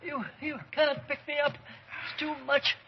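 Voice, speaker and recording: A man playing the frail, tormented ghost of an old man in a radio horror drama, halting and gasping in a weak, creaky voice. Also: very high-pitched, flat delivery. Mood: anguished. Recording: dull, hissy old radio recording.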